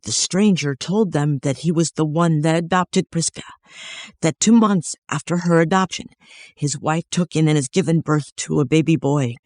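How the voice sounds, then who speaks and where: A man's voice: on the high side, normal speed, a little mellow, no room sound. A man narrating a scripted moral story as its storyteller.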